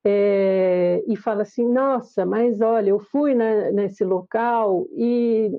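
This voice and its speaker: A woman's voice: mid-range voice, fairly even intonation, takes it slowly, no echo, phone-quality sound. A woman professor with a Brazilian accent, answering on a discussion panel, often hesitating and restarting. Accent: Brazilian Portuguese. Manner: hesitant, many fillers and restarts. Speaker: professor, research group coordinator.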